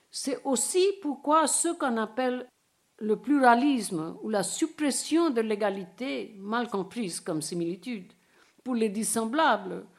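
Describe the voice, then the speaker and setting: Older woman lecturing formally. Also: a little high. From India. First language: Bengali. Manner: dense formal reading broken by hesitant asides in English. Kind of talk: academic lecture read from a prepared text.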